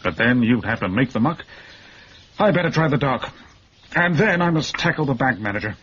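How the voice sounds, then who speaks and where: An actor playing a brisk, wry English police detective in a period radio drama, talking in a blunt, colloquial way. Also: male voice, medium pitch, lively intonation, a bit bright. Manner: colloquial, blunt, matter-of-fact. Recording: muffled radio recording, clear background noise.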